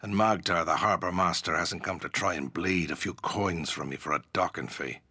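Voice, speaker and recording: An actor playing a gruff, wry but good-hearted ship's captain in a fantasy video game, with salty, colloquial seafaring speech. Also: male voice, low voice, moderate pace, sharp tone, dry recording, heavily acted. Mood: easygoing.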